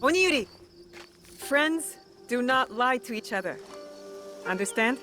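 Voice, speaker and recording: A woman's voice: fairly high pitch, unhurried, soft background music. Composed, earnest warrior woman. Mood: firm, caring. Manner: calm, earnest, reassuring. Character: composed, compassionate female warrior, a guiding big sister. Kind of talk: video game cutscene acting.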